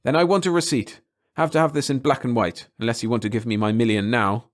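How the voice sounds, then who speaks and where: A man's voice: mid-range voice, fairly even intonation, fast, natural voice. A man voicing an eager, stubborn inventor who insists on getting his way. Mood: insistent. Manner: insistent and clamoring.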